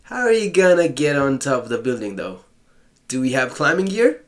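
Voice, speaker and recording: A man's voice: mid-range voice, very animated, normal speed. A man casually commenting while playing a visual novel, playful and sarcastic, joking back at the story text.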